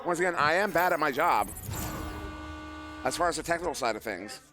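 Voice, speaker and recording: A man casting a live esports match, joking at his own expense and talking with many fillers, his voice worn and going hoarse. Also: a little high, lively intonation, fairly quick, music in the background. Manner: joking, self-deprecating, rambling with fillers. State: losing his voice. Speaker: esports caster. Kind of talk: live play-by-play commentary. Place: live event venue.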